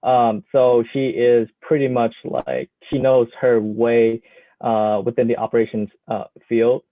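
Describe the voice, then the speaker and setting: A man's voice: mid-range voice, fairly even intonation, no echo. Hesitant young man, tinny line. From Vietnam. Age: young adult. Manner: hesitant, many pauses and fillers. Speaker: student scholarship recipient. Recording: dry, tinny phone-like audio.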